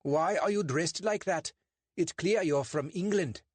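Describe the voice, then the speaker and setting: A man voicing a portly, suspicious English constable who questions in a sharp, demanding way. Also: fairly high pitch, unhurried, slightly rough, dry recording, pitched above the performer's own voice.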